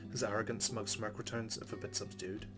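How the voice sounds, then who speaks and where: A man's voice: on the low side, flat delivery, brisk, over music. A man hosting a let's play, reading visual novel narration aloud and adding casual commentary.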